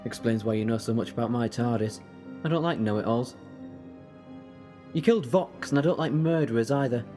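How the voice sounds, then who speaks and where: A man playing a quick-witted, sardonic time-travelling adventurer in an audio drama, speaking in a wry, emphatic way. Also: mid-range voice, warm tone, lightly acted. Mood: stern. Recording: reverberant, music underneath.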